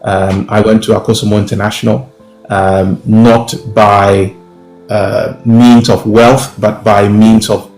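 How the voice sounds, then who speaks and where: A young man with a Ghanaian accent answers interview questions in a low, deliberate voice. He pauses often, fills gaps with "uh" and "um", and restarts his phrases. The sound is roomy, with faint music playing underneath. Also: flat delivery, a little mellow. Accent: Ghanaian English. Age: young adult. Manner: measured, many fillers and restarts. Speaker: professor and guest.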